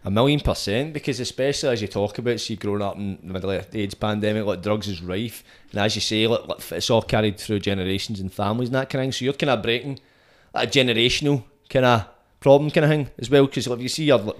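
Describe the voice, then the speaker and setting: A Scottish man hosting an interview, chatty and matey, with a booming voice. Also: fast. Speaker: podcast host. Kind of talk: casual interview chat. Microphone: dynamic studio mic.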